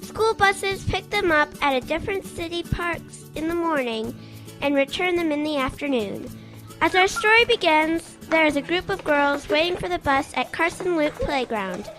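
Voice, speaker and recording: A woman's voice: sits high, lively intonation, normal speed, fairly bright tone, soft background music. A woman narrating a scripted radio story.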